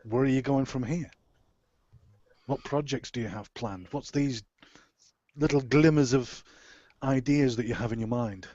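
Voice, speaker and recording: A man with a husky voice asking a question in a casual panel chat over a muffled lo-fi connection. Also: medium pitch, unhurried, long pauses, mellow. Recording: muffled, echoey lo-fi voice call.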